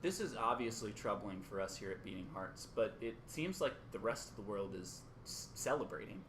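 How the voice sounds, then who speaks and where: A man's voice: fairly low pitch, animated, normal speed, fairly bright tone, a little hiss or hum. A man acting as an earnest, conciliatory radio co-host in an amateur radio play, placating in manner. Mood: presenting.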